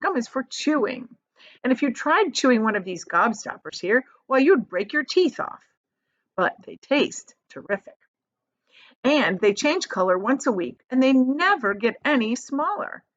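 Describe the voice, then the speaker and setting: A woman playing an eccentric storybook inventor, a man bursting with pride and given to bossy orders. The delivery is emphatic and exclamatory, with big swings in pitch. The audio is slightly dull and lo-fi. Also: sing-song, unhurried. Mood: proud, excited. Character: excited, proud male chocolate inventor, bossy and eccentric.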